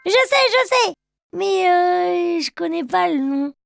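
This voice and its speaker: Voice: very high-pitched, big pitch swings, slow pace, gravelly, a bit bright, full character voice. A performer voicing an eager, playful little boy bear cub in a children's cartoon, with a childlike singsong manner. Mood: curious. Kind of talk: children's cartoon dialogue and rhymes. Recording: music behind the voice.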